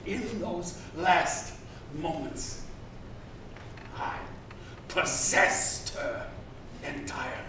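Vain old slaving captain, echoey stage. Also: male voice, sits high, animated, pushed and bright, exaggerated voice. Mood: menacing, gloating. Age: older. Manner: theatrical, slow, intense. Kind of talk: dramatic stage reading. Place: large theater stage, indoors. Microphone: far from the speaker on stage. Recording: echoey hall, distant mic, hiss.

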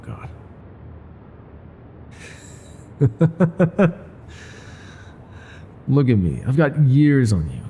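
A man playing a world-weary, gruff but secretly tender older ex-mafia bartender in an intimate, confiding audio roleplay. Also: low voice, warm tone, slight background noise, exaggerated voice. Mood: flustered, self-deprecating. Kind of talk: intimate ASMR roleplay monologue.

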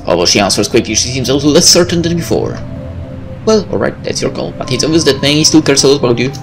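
A man's voice: medium pitch, expressive, fast talker, vocal fry. A man reading a visual novel aloud as a gameplay host, breaking in with casual, joking, sweary asides. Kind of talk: let's play narration with casual asides. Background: game music.

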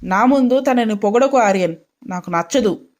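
A woman's voice: a little high, lively intonation, moderate pace, a little mellow, lightly acted. A woman playing a spoiled, jealous and hot-tempered young fiancée in a dramatised story, petulant and indignant. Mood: petulant, angry.

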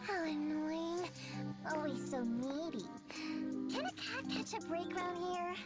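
Sly high-pitched cat girl. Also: expressive, unhurried. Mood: sly, weary. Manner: teasing, aloof. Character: sly, proud, playful young cat girl. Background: music.

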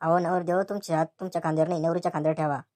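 A woman's voice: sits low, level tone, rapid-fire, dark tone, natural voice. A woman voicing a cheeky, mocking young male wedding photographer in a cartoon comedy, bossy and sarcastic, with a rural Maharashtrian accent. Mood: teasing, bossy.

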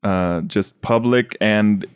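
A man's voice: fairly low pitch, takes it slowly, smooth, no echo, clean studio sound. A young adult man co-hosting a podcast in casual, comedic conversation. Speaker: podcast co-host.

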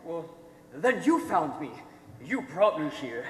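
A young man playing a naive, innocent wild youth with halting, childlike speech in a high school stage comedy. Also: very animated. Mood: innocent, puzzled. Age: young adult. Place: theatre stage, recorded from the audience. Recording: echoey hall, faint noise.

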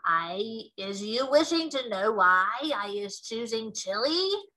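A woman's voice: fairly high pitch, big pitch swings, slow, pushed and bright, exaggerated voice, rough recording. Woman voicing a bellowing, greedy brute of a giant. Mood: gloating. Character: greedy, brutish man-eating giant, male, bellowing and contemptuous. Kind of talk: storybook read-aloud.

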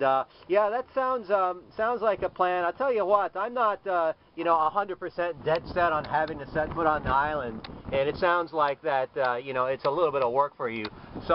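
A man, a solo sailor, talking casually and politely on the radio from a small boat cabin in an easygoing way. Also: fairly high pitch. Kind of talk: casual marine radio exchange. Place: small sailboat cabin at sea. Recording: dull lo-fi camera audio, faint noise.

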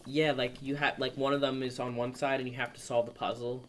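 A man's voice: mid-range voice, level tone, a little fast, fairly bright tone. A young man chatting and teasing his friend while watching him play a video game. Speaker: co-player commentator. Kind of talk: casual let's play chat. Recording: faint background noise.

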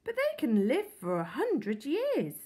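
A woman reading a storybook aloud, voicing a shy, polite older man who is quietly in love. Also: high-pitched, wildly swooping pitch, slow, pitched above the performer's own voice, exaggerated voice. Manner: polite, solemn.

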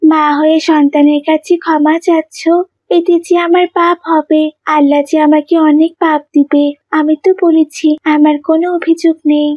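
A woman's voice: high-pitched, little pitch movement, normal speed, mellow, no echo, lo-fi sound, close to an everyday voice. A woman voicing a young schoolgirl in a dramatic family cartoon, earnest and childlike. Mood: kind, protesting.

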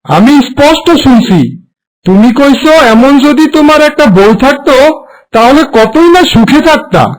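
A man's voice: extremely high pitch, lively intonation, normal speed, low-quality recording. A man voicing a stern, bossy, scheming village husband in a cartoon, speaking with a Bangladeshi Bengali accent. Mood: indignant. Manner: confrontational, commanding. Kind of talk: cartoon moral story voice acting.

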